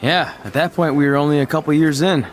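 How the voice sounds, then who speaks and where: An actor playing an eager, joking young pro wrestler in a video game cutscene. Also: male voice, fairly high pitch, quick pace, slight background noise, natural voice. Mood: easygoing. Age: young adult.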